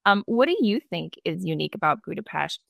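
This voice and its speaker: Woman host, chatty and enthusiastic, low voice with vocal fry. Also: sing-song, brisk, fairly bright tone, no room sound, studio quality. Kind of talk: interview chat.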